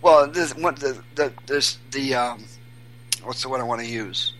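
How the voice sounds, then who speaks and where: A man from Maine, guest on an interview show, talking haltingly with many restarts and fillers. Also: mid-range voice, a bit bright, soft background music. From Maine, USA. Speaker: guest, volunteer searching for missing children. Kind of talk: casual interview.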